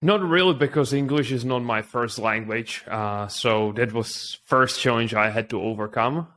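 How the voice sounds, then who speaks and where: A man's voice: medium pitch, moderate pace, fairly bright tone. A man from the Czech Republic with a Czech accent, speaking English as a second language as an interview guest telling his story conversationally.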